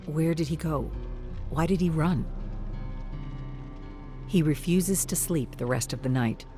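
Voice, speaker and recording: A mid-pitched narrator reads a gothic fantasy story with solemn drama. The delivery is scripted and suspenseful, over a bed of music. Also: measured pace.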